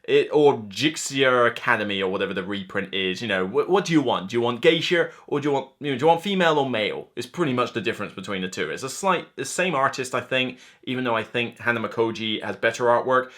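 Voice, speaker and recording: A man in his thirties giving a casual, unscripted board game review to camera, chatty and animated, acting out imagined reactions. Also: mid-range voice, fast talker, hardly any pauses. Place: indoor room lined with shelves of board games.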